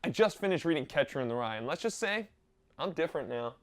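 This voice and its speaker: Brief: male comic as a high-school know-it-all boy, casual and smug, character comedy. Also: animated, fast talker, lightly acted. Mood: smug, pseudo-deep. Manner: smug, casual, sheepish apologies. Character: self-important male teenager who thinks he's figured out life.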